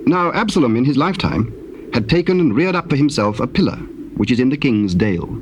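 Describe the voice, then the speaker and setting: A man's voice: medium pitch, very animated. Formal male scripture narrator, noisy tape. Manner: measured, formal storytelling. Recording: noisy old cassette tape.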